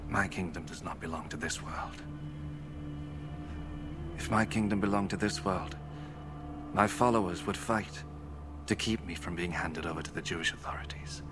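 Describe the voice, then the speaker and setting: An actor playing a calm, steadfast holy prisoner, speaking quietly and earnestly in a film drama. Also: male voice, deep voice, moderate pace, pauses often, over music, lightly acted. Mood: calm, resolute. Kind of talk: restrained dramatic film acting. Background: dramatic film score.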